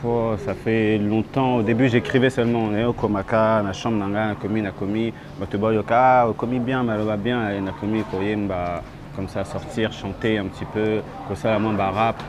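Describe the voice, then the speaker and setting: A young man from France with a low voice, answering a street interviewer. He speaks in halting bits and slides between French and Lingala. Traffic and city noise run under his voice. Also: flat delivery. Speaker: rapper guest.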